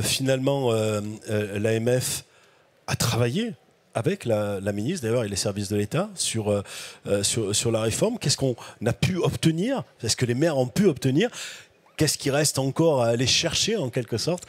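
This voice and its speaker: A man with a mid-range voice hosts a panel discussion. He asks his questions politely, breaking them up with frequent hesitations. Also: mid-range voice. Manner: polite, halting questions, many fillers. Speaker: moderator. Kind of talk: panel moderation.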